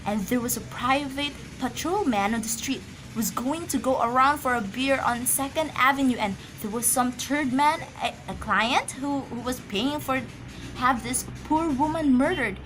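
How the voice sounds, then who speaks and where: A woman's voice: high-pitched, normal speed, background noise, close to an everyday voice. A woman acting an anxious, imperious, easily flustered wife in an amateur radio drama, agitated and stammering.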